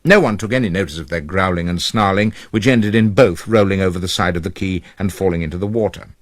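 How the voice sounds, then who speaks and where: A man narrating a children's story from a script as a storyteller, reading aloud in an expressive, measured manner. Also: deep voice, dry recording, clean studio sound.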